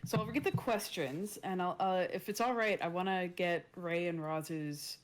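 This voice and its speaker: A woman's voice: lowish voice, normal speed, rough recording. A woman taking part in a tabletop roleplaying game, chatting casually and thinking aloud with some hesitation. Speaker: player in a tabletop roleplaying stream. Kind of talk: casual chat.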